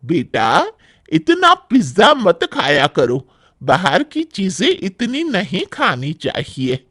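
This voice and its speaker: A man voicing an older, motherly mother-in-law in a cartoon story, admonishing gently in drawn-out words. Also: sits high, wildly swooping pitch, slightly rough, no room sound, studio quality, heavily acted. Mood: gently scolding. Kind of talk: animated Hindi moral-story cartoon acting.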